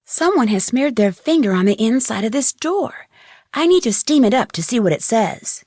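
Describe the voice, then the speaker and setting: A woman's voice: on the high side, big pitch swings, normal speed, a little mellow, no room sound. Curious young female detective, close dry sound. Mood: deducing. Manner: inquisitive, clearly enunciated musing. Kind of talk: scripted game voice acting, thinking aloud.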